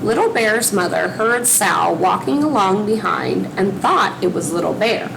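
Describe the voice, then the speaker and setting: A woman reading a children's story aloud slowly, with a lively, swooping voice and a gravelly edge. Outdoor traffic noise hums behind her. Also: medium pitch. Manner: slow, expressive storytelling.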